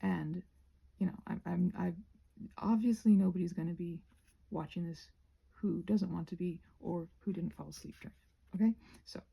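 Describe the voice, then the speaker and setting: Soft breathy lady kinda talking to herself while crafting, super mellow, bit of creak, rambly and self-deprecating. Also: on the low side, normal speed. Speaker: craft and ASMR video creator. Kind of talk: soft-spoken casual rambling while painting.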